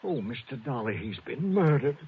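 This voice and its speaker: A man's voice: medium pitch, very animated, normal speed, slightly rough. An actor playing a timid, distraught man in 1950s radio style, stammering and fretful. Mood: panicky, fretful. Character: nervous, distraught male friend, timid and fretful. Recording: muffled old radio broadcast.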